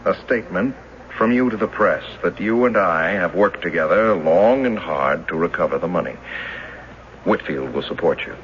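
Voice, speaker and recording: A low-voiced, determined wronged American banker. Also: male voice, lively intonation, normal speed. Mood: insistent. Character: determined, long-suspected male former banker demanding justice. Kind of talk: radio drama acting. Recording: dull noisy vintage radio drama recording.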